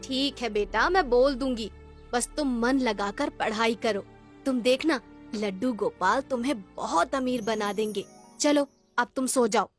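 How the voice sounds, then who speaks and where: A woman plays a devout village mother in a cartoon tale, speaking fondly as to her young son. Her voice is fairly high, with music behind it. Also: unhurried. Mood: tender, coaxing. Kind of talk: children's cartoon story voice acting.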